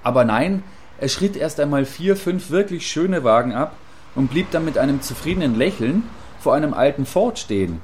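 A man's voice: fairly high pitch, expressive, moderate pace, a little mellow, a little hiss or hum, close to an everyday voice. A man playing an eager, deferential field reporter in a radio play, giving a slightly hesitant, chatty eyewitness account. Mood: matter-of-fact. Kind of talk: satirical radio play as live news report.

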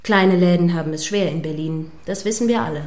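A woman's voice: fairly low pitch, a little mellow, natural voice. Woman playing an earnest, probing radio news anchor. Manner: formal, measured, insistent questioning. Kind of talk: satirical radio play as live news broadcast.

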